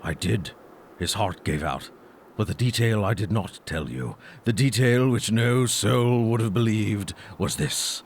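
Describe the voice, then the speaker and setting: A man playing an earnest, fearful doctor in a comedic audio drama, speaking in a halting, solemn, melodramatic way. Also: fairly low pitch, a little hiss or hum. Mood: grave, dramatic.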